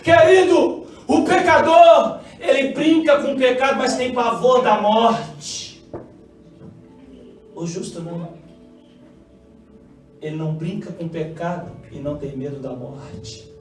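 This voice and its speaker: A Brazilian pastor with a Mineiro accent and a strikingly high voice preaches with passion, dropping to near whispers and then shouting his high points. He sounds far from the mic in a very echoey church hall, with music playing behind him. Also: slow pace, long pauses. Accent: Mineiro Brazilian Portuguese. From Brazil, Minas Gerais. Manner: impassioned, swinging from hushed asides to shouted climaxes. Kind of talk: Pentecostal sermon with call-and-response.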